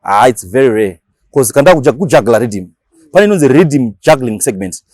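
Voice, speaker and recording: A man's voice: mid-range voice, animated, a little fast, a little mellow, dry recording, studio quality. A man with a Zimbabwean accent, talking casually as a radio DJ being interviewed, mixing languages and repeating words for emphasis.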